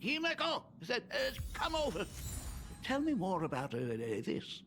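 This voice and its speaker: Eager artsy dad from a game, kinda high, swoopy, hesitant and amazed, music under it. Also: male voice, wildly swooping pitch, normal speed, fairly bright tone, slight background noise, heavily acted. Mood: flustered. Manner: hesitant, marveling. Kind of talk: video game voice acting.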